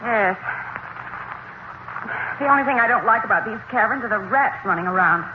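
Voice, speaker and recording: An actress playing a sweet, agreeable wife with a coaxing manner, in 1950s radio drama style. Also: female voice, fairly high pitch, expressive, moderate pace, close to an everyday voice. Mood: anxious, eager. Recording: tinny, hissy vintage radio recording.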